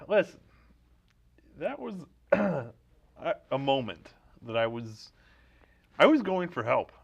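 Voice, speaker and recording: Male host for comedy banter, mid-pitched, halting and deadpan, on a dull lo-fi recording. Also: gravelly, slightly rough. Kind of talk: casual bantering monologue and interview.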